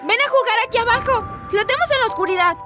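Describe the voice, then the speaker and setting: A woman's voice: extremely high pitch, expressive, fast. A woman voicing an eerie little ghost boy, childlike and beckoning, in a horror audio drama. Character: eerie little ghost boy luring his father downward. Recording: voice over prominent music.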